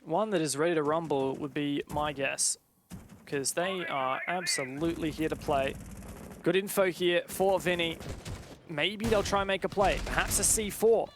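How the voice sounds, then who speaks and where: A man with a high voice gives live esports play-by-play. His phrasing is dramatic and wordy. There is faint noise with clicking behind him. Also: moderate pace. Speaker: esports commentator. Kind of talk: live play-by-play game commentary.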